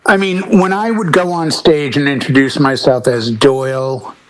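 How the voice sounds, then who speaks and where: A man's voice: medium pitch, fairly even intonation, moderate pace, poor audio. A man chatting casually and jokily as a DJ on a panel. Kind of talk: casual, joking chat.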